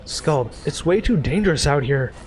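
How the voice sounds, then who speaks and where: A man's voice: a little high, animated, moderate pace, slight background noise. A man doing let's play commentary, reading game dialogue aloud in a playful, theatrical way with off-the-cuff asides.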